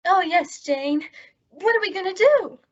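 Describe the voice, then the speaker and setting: A woman's voice: extremely high pitch, animated, unhurried. A woman playing a sweet, scatterbrained, guileless young woman in a radio comedy. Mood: eager. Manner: guileless, ditzy. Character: sweet, scatterbrained young dumb-blonde type. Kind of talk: old-time radio comedy acting. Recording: dull lo-fi recording.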